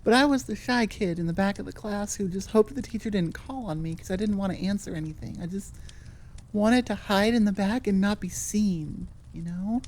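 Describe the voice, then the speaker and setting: A woman's voice: medium pitch, a little mellow. A woman hosting her audio roleplay channel, chatting to listeners in an informal, rambling, candid way. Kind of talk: casual chat to listeners.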